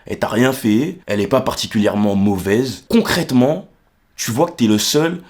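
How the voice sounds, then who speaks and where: A man's voice: mid-range voice, normal speed, darkish tone. A young man from Martinique, explaining ideas in a casual, colloquial monologue and often checking that the listener follows. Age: young adult. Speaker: vlogger explaining psychology.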